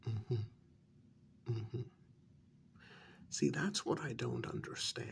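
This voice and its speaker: A man playing a patient but exasperated vampire official in a soft-spoken ASMR role-play, halting and coaxing, with a breathy voice that often nears a whisper. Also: medium pitch, slight background noise, exaggerated voice. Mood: frank, puzzled. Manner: halting, coaxing, many pauses.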